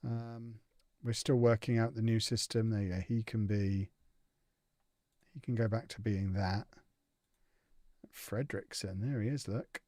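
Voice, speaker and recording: A man's voice: on the low side, steady tone, measured pace, pauses often, mellow, dry recording. Chatty man, dry close sound. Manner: chatty, reads viewer comments aloud and reacts with amused indignation. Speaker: game streamer. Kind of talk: casual live-stream chat while playing.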